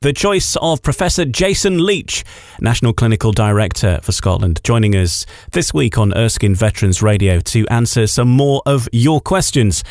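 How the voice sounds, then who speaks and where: A man's voice: mid-range voice, big pitch swings, normal speed, darkish tone, no room sound, studio quality. A man hosting a radio interview, presenting and reading out questions for his guest. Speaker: radio host.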